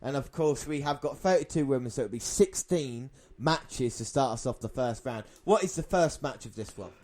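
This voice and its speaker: A man's voice: medium pitch, expressive, moderate pace, a little mellow, soft background music. A man co-hosting a podcast, chatting casually about sport. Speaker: podcast co-host.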